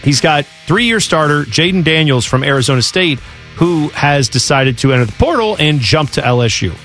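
A man's voice: fairly high pitch, lively intonation, moderate pace, a bit bright, faint music behind. A man co-hosting a college football talk show, reading news items and riffing in a casual way. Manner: conversational, reads news items then riffs. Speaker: sports talk co-host.

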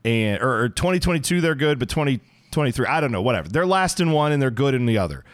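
Hoarse thirtysomething male podcast co-host, chatty and self-mocking, dry recording. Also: medium pitch, very animated, fast talker, no room sound. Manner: rambling, self-deprecating storyteller. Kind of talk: casual sports chat. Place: home basement.

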